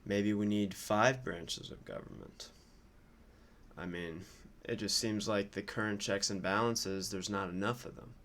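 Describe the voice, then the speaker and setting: A man giving informal, off-the-cuff political commentary in a casual, musing way, like a streamer thinking out loud. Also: fairly low pitch, fairly even intonation, unhurried.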